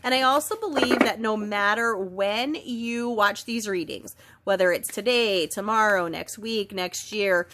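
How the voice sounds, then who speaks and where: Woman host, nasal from a head cold, chatty and gentle oracle reader, medium pitch. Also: unhurried, few pauses, a little hiss or hum. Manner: chatty, gentle, with frequent ums and self-corrections. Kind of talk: casual spiritual card reading.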